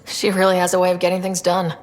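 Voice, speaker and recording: A woman's voice: lowish voice, completely flat delivery, fast, long reverb tail, close to an everyday voice. An actress playing a young, curious, easygoing space explorer in a video game, casual and direct in her questions. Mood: friendly, curious. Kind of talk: video game character dialogue.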